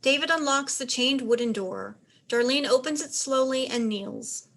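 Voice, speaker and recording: A woman's voice: sits high, moderate pace, a bit bright. A woman reading stage directions as narrator, in scripted narration for a play.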